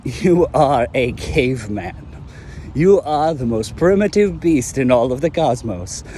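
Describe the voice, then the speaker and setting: A man's voice: medium pitch, expressive, a little slow, mellow, slight background noise, natural voice. A man voicing a sneering male villain in an amateur audio drama who talks in a condescending, mocking way. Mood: scornful.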